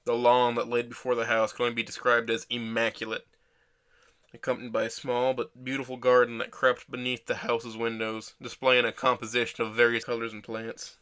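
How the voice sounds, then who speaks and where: Man playing a crisp, deadpan young male narrator. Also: mid-range voice, a little fast, lightly acted. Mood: descriptive. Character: self-deprecating injured teenage boy narrating his story. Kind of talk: first-person scripted narration.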